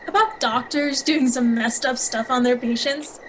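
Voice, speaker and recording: Practical know-it-all young woman, acted by a woman, highish voice. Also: normal speed, close to an everyday voice, low-quality recording. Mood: matter-of-fact. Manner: matter-of-fact, explanatory. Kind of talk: audio drama dialogue. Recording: faint noise with night insects. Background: crickets and frogs.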